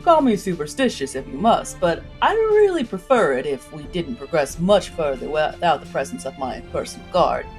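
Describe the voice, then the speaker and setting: A woman voicing a decent, cautious man in a storybook tale, speaking formally and politely with measured wariness, over music. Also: low voice, very animated, voice pushed down. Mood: wary, courteous. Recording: music behind the voice.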